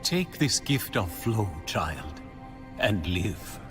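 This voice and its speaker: An actor playing a wise, solemn alien ancestor in a game cutscene, speaking in formal, poetic phrases. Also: male voice, lowish voice, very animated, extremely slow, heavily acted. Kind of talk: dramatic game cutscene acting. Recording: music behind the voice.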